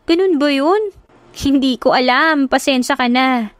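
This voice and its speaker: A woman voicing a young wife and new mother who scolds and teases in a loud, high voice. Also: measured pace, no room sound. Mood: teasing.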